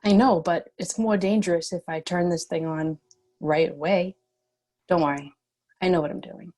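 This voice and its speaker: Young female bounty cop read by an actress: low-pitched, confident, defiant, dramatic table-read delivery. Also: steady tone, moderate pace, a little mellow, natural voice.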